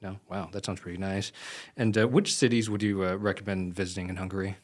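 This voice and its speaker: Male podcast host, low voice, quick and chatty with lots of fillers. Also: steady tone, darkish tone. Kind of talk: casual interview.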